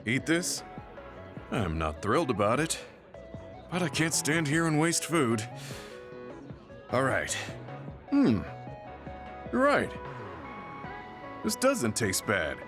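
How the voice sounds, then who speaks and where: An actor playing a reserved, old-fashioned veteran soldier in a video game, speaking in a measured, formal, serious manner. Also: male voice, medium pitch, wildly swooping pitch. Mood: reserved, mildly pleased. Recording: background music over dialogue.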